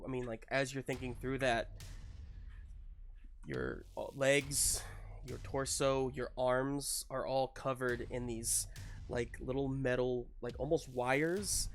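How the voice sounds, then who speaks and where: A woman game master narrating a live tabletop roleplaying game, thinking aloud in a halting way. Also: a bass voice. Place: live online game stream.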